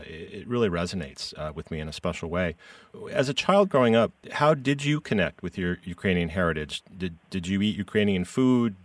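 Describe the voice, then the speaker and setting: A man's voice: sits low, dry recording, recorded from a distance. A man hosting a podcast, who reads a scripted introduction and then asks personal questions. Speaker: podcast host. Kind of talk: interviewing a guest.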